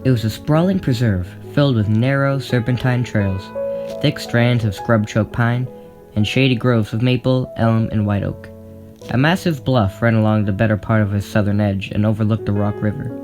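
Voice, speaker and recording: Male storyteller for audio drama narration, lower register, plucked guitar bed. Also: fairly even intonation, moderate pace. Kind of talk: scripted audiobook-style narration. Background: plucked guitar music.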